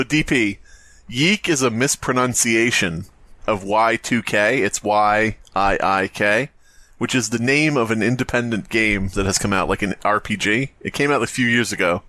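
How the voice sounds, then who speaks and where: A man's voice: fairly low pitch, moderate pace, pushed and bright, no echo. A man co-hosting a podcast with casual, joking banter and some teasing. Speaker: podcast co-host.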